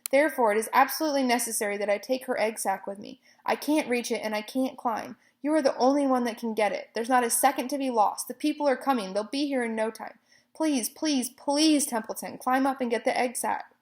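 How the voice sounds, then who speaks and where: A woman's voice: fairly quick, lightly acted. Woman doing a pleading young pig. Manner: pleading, high and urgent. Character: young male pig, earnest and tearful.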